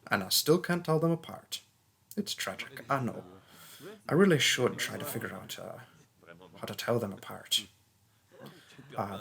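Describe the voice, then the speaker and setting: Guy acting as a young male wizard student, friendly party host, rambly and jokey, casual audio roleplay. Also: medium pitch. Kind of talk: roleplay audio drama spoken to the listener.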